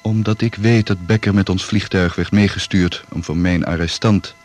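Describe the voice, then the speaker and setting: An actor playing a matter-of-fact secret agent in a Dutch radio play, terse and businesslike. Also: male voice, sits low, steady tone, moderate pace, darkish tone, slight background noise, close to an everyday voice. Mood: serious, factual.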